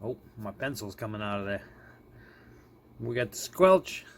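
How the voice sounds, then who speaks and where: A man's voice: lowish voice, lively intonation, a little slow. A man giving a casual hands-on gadget demo, informal and often chuckling as he thinks aloud, recorded indoors with background noise. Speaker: product reviewer. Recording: clearly noisy room recording.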